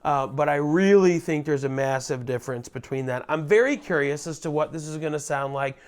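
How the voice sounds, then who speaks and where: A loud, chatty man giving a casual product tutorial, speaking into a lavalier mic clipped under his shirt. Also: on the high side, fairly quick, smooth. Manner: loud, chatty, self-deprecating asides. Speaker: gear reviewer.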